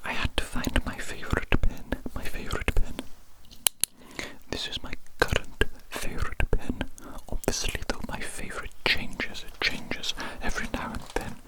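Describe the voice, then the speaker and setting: A woman whispering breathily in a soothing ASMR roleplay as an eccentric, gentle alien doctor, softly repeating words and phrases. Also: normal speed, rough recording.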